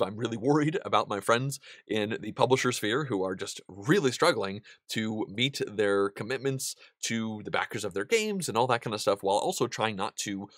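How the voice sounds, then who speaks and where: A man in his thirties hosting a board game channel, seated at a desk in a small studio and answering viewer questions in a relaxed, chatty way with plenty of ums and restarts. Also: lowish voice, no echo. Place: indoor studio room with a wall of game pieces.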